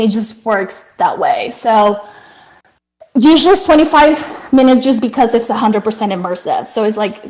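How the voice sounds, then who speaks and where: A woman's voice: medium pitch, a little mellow, rough recording. A woman from Colombia whose first language is Spanish, earnestly explaining her startup on a video call, with many fillers and restarts. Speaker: startup founder pitching.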